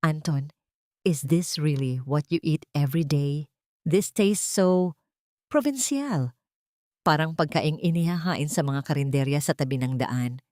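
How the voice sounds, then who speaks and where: A woman's voice: sits low, unhurried, darkish tone, no room sound, clean studio sound. A woman narrator voicing a snobbish, disdainful rich young woman in an audio drama story. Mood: sneering disdain. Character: haughty, sneering rich young woman, a rival.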